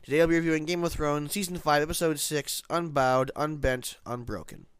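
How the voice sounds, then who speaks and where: A man's voice: a little high, a little fast, smooth. A man with a nasal voice, congested from hay fever, giving a casual, rambling spoken review of a TV episode. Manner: rambling, many restarts and self-corrections.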